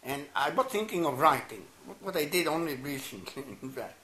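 A man's voice: a little high, expressive. Older man in a roomy room. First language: Italian. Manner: halting and warm, stammers and restarts, laughs softly. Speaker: interviewee, anthropologist. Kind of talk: reflective interview answers. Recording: roomy echo, faint noise.

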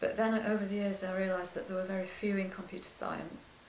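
An older woman with a quiet voice, recalling her career as a lecturer in an interview, soft-spoken and hesitant with many restarts. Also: medium pitch, level tone, fairly quick, echoey room, slight background noise, rough recording. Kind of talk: oral history interview.

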